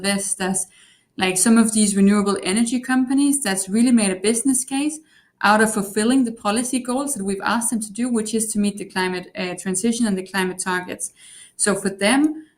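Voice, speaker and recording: A woman speaking on a panel. Her pitch sits in a middle range, and she talks in a thoughtful, measured way, weighing each point before she moves on. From Denmark. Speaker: panelist, elected representative. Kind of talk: panel discussion.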